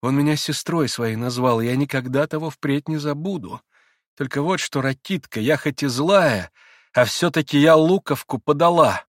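A man reading an audiobook and voicing a passionate young woman who is proud, emotional and quick to flare. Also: fairly high pitch, no room sound, studio quality, voice pushed up, lightly acted. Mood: tearful.

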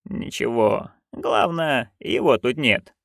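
A reader voicing a stern, unbending old woman witch who speaks low, slow and curt in an audiobook. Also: creaky, no echo, studio quality. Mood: cold, calm. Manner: low, quiet, slow and flat. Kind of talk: audiobook character voice.

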